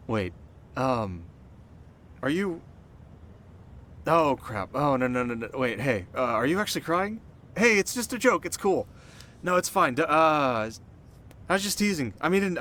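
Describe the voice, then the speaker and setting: A man playing a cocky but soft-hearted teenage delinquent boy in an ASMR roleplay, talking straight to the listener in casual slang. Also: a little high, slight background noise. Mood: flustered, apologetic. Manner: slangy, casual, stumbling when flustered.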